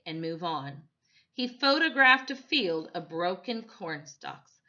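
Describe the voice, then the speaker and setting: A woman reads a children's story in a bright, forceful voice at a slow pace. Her phrasing is dramatic and deliberate, and the recording sounds a little dull. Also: mid-range voice. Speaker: storytime reader. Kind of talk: expressive children's story reading.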